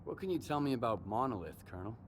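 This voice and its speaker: An actor playing a determined, plain-spoken drifter in a video game, talking in a direct, informal way. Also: male voice, mid-range voice, very animated, very fast, big-room sound, slight background noise, lightly acted.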